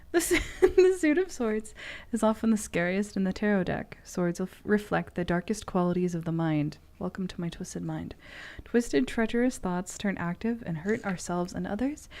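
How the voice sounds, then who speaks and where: A woman's voice: on the low side, a little mellow. A woman giving tarot readings on a stream in a playful, casually profane way, moving between loose chat and reading aloud.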